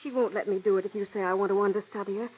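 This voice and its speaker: An actress in 1940s radio acting, playing an earnest young stage-struck secretary with a pleading manner, on a dull old radio broadcast. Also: female voice, mid-range voice, steady tone, very fast, breathy edge, natural voice. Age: young adult.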